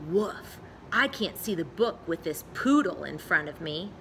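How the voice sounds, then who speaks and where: A mean little schoolboy bully from a storybook, put on by a woman, taunts in a sharp, bright voice. He is sneering and mocking. There is slight noise in the recording. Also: fairly low pitch, expressive, unhurried, vocal fry, exaggerated voice, poor audio.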